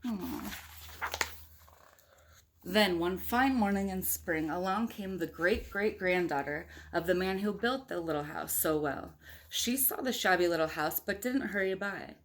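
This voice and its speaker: A woman's voice: on the low side, measured pace. Woman reading a storybook aloud to kids. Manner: conversational, engaging, asks the listeners questions. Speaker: storyteller reading a picture book aloud to young children. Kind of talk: read-aloud storytelling with chatty asides to children.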